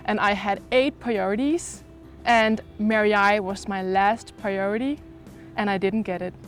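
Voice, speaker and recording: A woman's voice: medium pitch, fairly even intonation, unhurried, faint music behind. A young woman from Denmark, talking casually and expressively as an exchange student in an interview. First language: Danish. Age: young adult.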